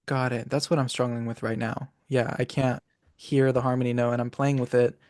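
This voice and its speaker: A man's voice: mid-range voice, flat delivery, fairly quick, a little mellow, dry recording. Man asking short questions, dry sound. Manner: asks short, direct questions. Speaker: interview host and student singer. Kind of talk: casual interview chat.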